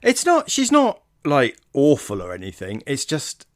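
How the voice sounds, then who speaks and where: A man's voice: on the high side, huge pitch swings, unhurried, dry recording, clean studio sound. Man, hesitant but opinionated chat. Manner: hesitant, repeats words, opinionated. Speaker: podcast co-host. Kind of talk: casual film discussion chat.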